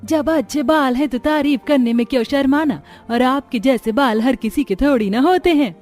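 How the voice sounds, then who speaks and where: A chatty young village woman from a cartoon family story, played by a woman. Her voice sits fairly high, with music playing behind her. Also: normal speed, darkish tone, close to an everyday voice. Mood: chatty, worried. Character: young village women, chatty stall customers and sellers.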